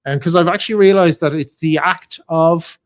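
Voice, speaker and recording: Earnest man with a fairly high voice. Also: moderate pace. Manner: earnest, self-deprecating, laughs easily. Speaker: writer, podcast co-host. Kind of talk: casual panel chat.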